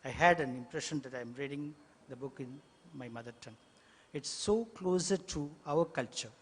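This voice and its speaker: A man whose first language is Tamil, a translator speaking on a panel in English, halting with many fillers and restarts. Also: mid-range voice, breathy edge. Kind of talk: hesitant panel remarks. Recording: long hall reverb, faint ambient noise.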